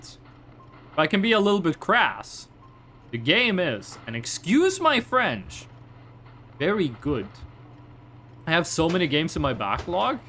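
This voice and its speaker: A young Northern Norwegian man with a Norwegian accent chats casually on a game stream, rambling through stories and slipping into mock-formal asides. His voice sits high and swings widely up and down. A faint hum of ambience lies under the recording. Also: pushed and bright. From Northern Norway. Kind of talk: casual livestream chat while gaming.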